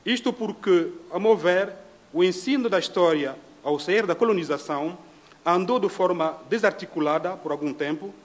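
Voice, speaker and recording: A middle-aged man from Congo, speaking Portuguese as a panel speaker, in a measured, formal way with frequent pauses. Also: high-pitched. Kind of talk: formal reflective talk. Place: dim hall at a conference table.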